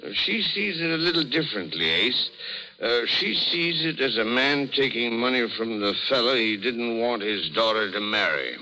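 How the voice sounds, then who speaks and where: An actor playing a weary, remorseful middle-aged alcoholic father in a 1930s radio drama, halting and pleading as he speaks. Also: mid-range voice, animated, bright, forceful tone, low-quality recording. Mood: sheepish, rueful. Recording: vintage radio recording, faint music.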